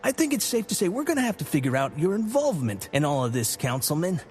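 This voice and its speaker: An actor playing a grim, no-nonsense police commissioner in an audio drama, terse and serious. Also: male voice, fairly high pitch, very animated, quick pace, a little mellow. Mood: firm.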